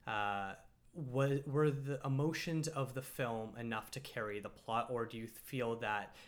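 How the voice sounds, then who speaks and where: A man hosting a casual film discussion, talking thoughtfully and hesitantly with many pauses. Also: mid-range voice. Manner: thoughtful, hesitant, lots of fillers and restarts. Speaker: podcast host and film reviewer.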